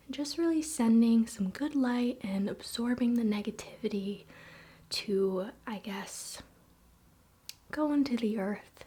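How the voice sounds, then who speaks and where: A woman whispering softly in a soothing, relaxed and informal way, as an ASMR host. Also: on the high side, slightly rough. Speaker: ASMR video host and spiritual practitioner. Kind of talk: soft-spoken whispered ASMR.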